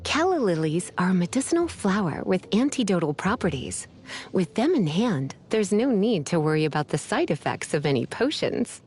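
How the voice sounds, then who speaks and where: A woman's voice: fairly low pitch, very animated, moderate pace, darkish tone, slightly rough. A woman voicing a languid, teasing female mage and librarian in a fantasy video game, with a flirtatious, sleepy drawl. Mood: teasing, coy. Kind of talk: video game character voice lines. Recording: music behind the voice.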